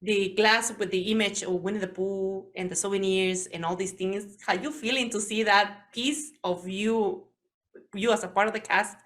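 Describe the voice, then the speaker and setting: Bright-voiced Mexican woman host. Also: mid-range voice, fairly even intonation. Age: 40s. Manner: halting non-native English, searching for words. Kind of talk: interview.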